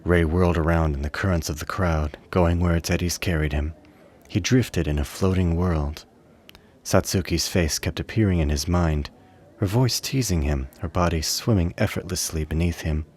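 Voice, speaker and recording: A man narrating a radio play with measured, literary dramatic storytelling. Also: low-pitched, darkish tone.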